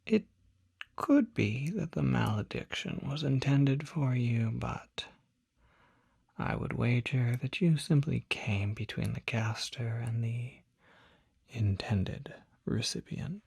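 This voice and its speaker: A person voicing a polite, soft-spoken demon doctor in a whispered ASMR roleplay, with breathy, formal, precise speech. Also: mid-range voice, animated, heavily acted. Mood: calm, clinical. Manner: formal, unhurried, precise diction.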